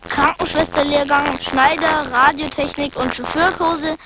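Documentary narrator: woman, high voice, steady recitation, noisy backdrop. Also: measured pace, gravelly. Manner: even, list-like recitation. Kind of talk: scripted documentary reading. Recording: noisy background ambience.

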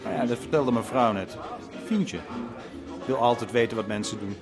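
A man's voice: mid-range voice, moderate pace, lightly acted. Guy doing nosy middle-aged businessman at a party, radio play, self-important, music behind. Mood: inquisitive, patronising. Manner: chatty, inquisitive, pompous. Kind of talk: radio drama acting.

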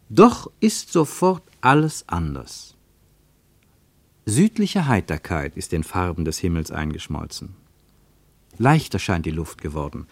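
Guy narrating an old radio play, lowish voice, slow and poetic, dry studio sound. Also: no room sound. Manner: measured, lyrical, dramatic swings in pitch and loudness.

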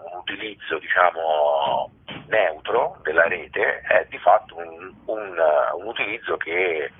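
A man answering interview questions as a documentary director, explaining in a halting way, searching for words. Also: sits low. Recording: thin, telephone-like radio line.

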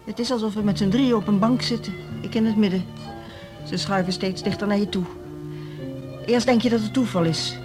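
An actress plays an older female music teacher in a radio drama. She is disillusioned, speaking in a bitter, brooding way at a middling pitch. Music plays behind her. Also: fairly even intonation, fairly quick, natural voice. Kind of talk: Dutch radio drama acting.

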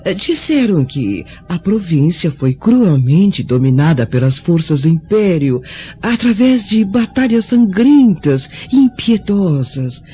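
Gentle servant woman, Brazilian accent, animated intonation, radio drama, lo-fi, faint music. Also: high voice, slow pace, breathy edge, heavily acted. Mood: earnest, worried. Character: soothing, devoted female servant to a blind lady.

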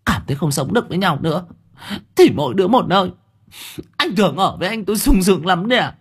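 A man putting on a high voice for a defiant, sharp-tongued young wife. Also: expressive, takes it slowly, husky, exaggerated voice. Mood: scornful. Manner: shrill, stammering then railing.

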